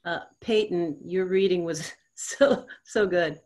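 A woman's voice: fairly low pitch, slow, darkish tone. A woman offering casual feedback in a group discussion over a video call.

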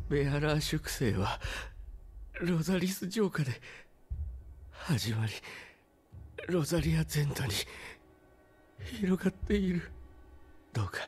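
A wounded, dying rebel speaks very slowly in broken, gasping phrases. He is weak but earnest, a game drama performance over soft music. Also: fairly high pitch. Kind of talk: Japanese video game dramatic acting.

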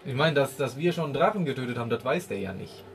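A man's voice: medium pitch, expressive, quick pace, slight background noise. A man playing a tabletop role-playing game, chatting casually and colloquially in character. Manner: colloquial, tags sentences with "ne".

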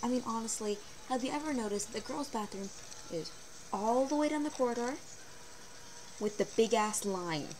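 Chatty teen girl on a noisy webcam. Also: normal speed. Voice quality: fairly low voice for a woman. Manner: chatty, animated, sarcastic asides. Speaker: vlogger. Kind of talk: casual webcam vlog. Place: small bedroom, indoors. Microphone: built-in webcam mic. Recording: noisy low-quality webcam recording.

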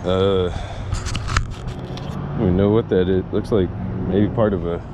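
A man's voice: sits low, fairly even intonation, takes it slowly. A man doing casual outdoor vlog commentary, talking to viewers and to himself as he works, recorded on a chest-mounted action camera. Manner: talks to viewers and himself while working. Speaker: magnet fishing vlogger. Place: outdoors on concrete by water under a road bridge. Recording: noisy outdoor action-camera audio with ambience.

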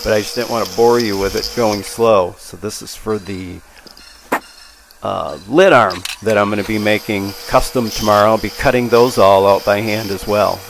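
Older man over clear workshop machine noise. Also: lowish voice, fairly even intonation, moderate pace. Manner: folksy, plainspoken. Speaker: machinist and channel host. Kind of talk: casual workshop narration of hands-on work. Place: machine shop, indoors. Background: machinery and power tools.

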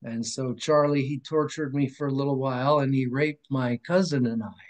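A middle-aged man speaks over a webcam from a room at home, earnest and rambling as he tells his story. He often restarts his sentences and his voice falls suddenly quiet before coming back up. His pitch sits in a plain middle range. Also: steady tone, moderate pace. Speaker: podcast guest. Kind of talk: emotional personal testimony. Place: room at home with framed picture on wall. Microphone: video call webcam.

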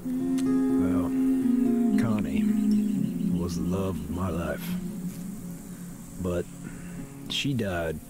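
An actor playing a gruff, bearded middle-aged drifter who talks in a slow, wistful, fatherly way. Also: low-pitched, very animated, over loud music, heavily acted. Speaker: game character. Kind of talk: game voice acting.

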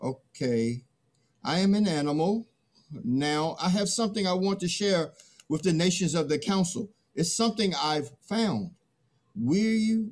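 A man's voice: on the high side, darkish tone. A middle-aged man playing a boastful, stubborn early human in a dramatic play reading. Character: first Man, boastful, greedy, stubborn, awkward.

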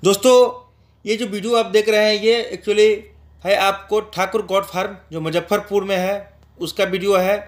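A man's voice: high-pitched, fairly even intonation, normal speed. A man giving an informal promotional voice-over as a channel host, explaining things repetitively and addressing viewers directly.

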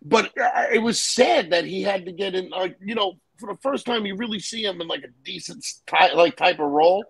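Brief: gravelly, high-voiced middle-aged man, blunt and swearing, video call. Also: fairly quick, few pauses, fairly bright tone, poor audio. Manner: profane, blunt. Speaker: guest. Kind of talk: casual chat on a video call.

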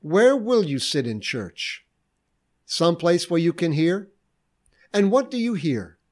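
A man's voice: fairly high pitch, slow. A man giving a short devotional sermon, reflective and earnest, asking questions aloud. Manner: reflective, lively preaching with rhetorical questions. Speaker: preacher.

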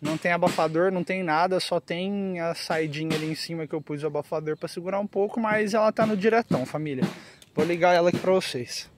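A man's voice: high-pitched, quick pace, slight background noise. A Brazilian man talking casually and with lots of slang, as a motorcycle vlogger filming outdoors. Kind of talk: casual vlog talk to camera, slangy. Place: outdoors on a quiet roadside by trees.

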